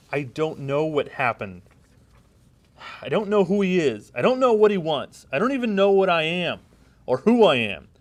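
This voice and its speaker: A man's voice: on the high side, very animated, measured pace, long pauses, voice pushed up. A man playing a frantic, melodramatic young person on the run in an overwrought, pleading dramatic scene reading. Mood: agitated, anguished.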